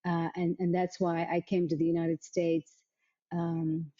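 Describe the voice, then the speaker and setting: A middle-aged woman from Africa, telling her story as an interview guest in a thoughtful, halting way with many repeated words. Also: fairly low pitch, monotone, mellow. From South Africa. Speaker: guest, arts nonprofit vice president. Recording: dull, muffled lo-fi sound.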